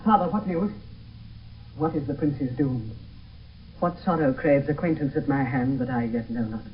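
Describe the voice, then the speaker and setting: An actor as desperate young lover, distant sound. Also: male voice, mid-range voice, moderate pace, natural voice. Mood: anxious. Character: anxious young banished lover, desperate and passionate. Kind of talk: 1970s radio Shakespeare acting. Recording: distant, dull, slightly noisy old broadcast.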